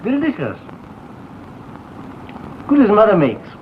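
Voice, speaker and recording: Tinny old-film ardent young suitor. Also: male voice, sits high, huge pitch swings, slow, slight background noise, heavily acted. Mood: ardent, tender. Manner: ardent, tender declarations. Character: earnest smitten young English suitor. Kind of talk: old film romantic drama acting.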